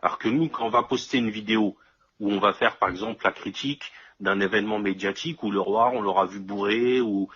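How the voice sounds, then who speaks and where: A man's voice: on the low side, a little fast, a bit bright, breathy edge, muffled recording. A man in casual conversation, explaining things step by step and often checking that his listener follows.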